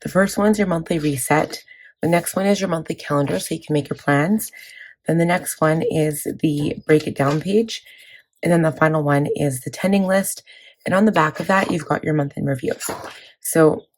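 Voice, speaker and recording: A woman in her thirties, hoarse from laryngitis, chatting casually about her planner with many fillers. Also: deep voice, moderate pace, darkish tone, poor audio. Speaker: planning vlogger. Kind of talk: casual chat walking through a planner.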